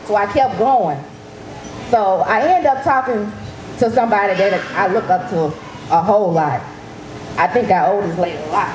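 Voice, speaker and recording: A woman tells her story to an audience in a loud, lively, casual way. Her voice rings through an echoey event hall, and clear background noise runs under it. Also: mid-range voice. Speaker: breast cancer survivor speaking at an event. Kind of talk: personal testimony to an audience.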